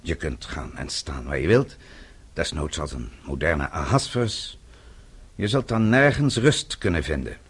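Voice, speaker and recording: An actor playing a vengeful middle-aged father in a radio play, speaking in a measured, deliberate and formal way. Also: rumbling low, animated, husky, heavily acted. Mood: cold menace. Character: vengeful father, composed, coldly methodical older man.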